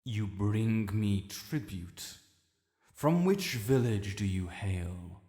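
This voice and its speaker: A British man voicing an ancient, imperious male dragon in a fantasy audio roleplay, speaking formally and commandingly in a gravelly voice. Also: lively intonation, warm tone. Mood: stern, condescending. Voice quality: low, gravelly. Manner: formal, commanding, haughty, deliberate archaic phrasing. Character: ancient, imperious male dragon lord, proud, quick to righteous anger. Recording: cavernous reverb, long echo.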